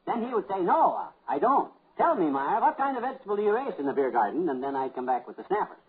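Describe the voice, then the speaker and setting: Blustery husband, high lively voice, boastful, radio comedy, noisy old recording. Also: male voice, fast, husky, heavily acted. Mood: self-assured. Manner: blustery, boastful, easily exasperated. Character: blustery, self-assured husband, amateur vaudeville joker. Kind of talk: 1950s radio comedy acting. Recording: noisy narrow-band 1950s radio recording.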